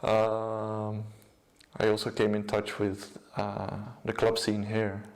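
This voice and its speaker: A young man with a Dutch accent, a music producer and DJ, giving a casual, low-key account of his work. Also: monotone, takes it slowly, vocal fry, darkish tone. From Netherlands.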